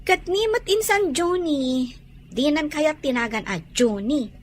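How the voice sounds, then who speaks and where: A woman playing an excitable, nosy village relative in an Ilocano comedy drama. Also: high voice, unhurried. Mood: gossipy amused.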